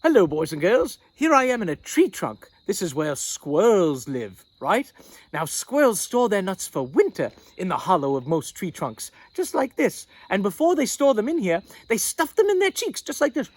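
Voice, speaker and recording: A fussy, theatrical lady storytime host, played by a man, chatting to children in a high, fluttery voice. The delivery is excitable and full of exclamations, swooping widely up and down. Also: moderate pace. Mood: eager, excitable. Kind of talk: comic children's storytime presenting.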